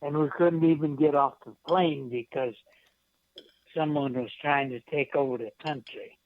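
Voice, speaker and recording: An American man in his seventies with a somewhat high voice, speaking as a guest on a radio show. His delivery is halting and plainspoken, and the sound is dull, muffled and dry. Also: fairly even intonation, dry recording. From United States. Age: 70s. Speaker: guest, poet and veteran. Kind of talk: interview reminiscence.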